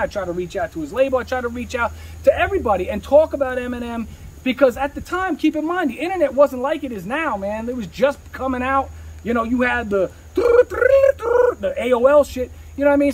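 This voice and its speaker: A man's voice: very high voice, slightly rough, poor audio. A man talking candidly in an interview as a rapper, with slangy, streetwise speech peppered with swearing.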